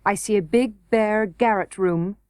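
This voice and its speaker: A woman's voice: mid-range voice, unhurried, darkish tone, clear, resonant tone, no room sound, clean studio sound, close to an everyday voice. A woman voicing a blunt, vexed young miner boy who speaks curtly and rudely. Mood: puzzled. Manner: curt and rude.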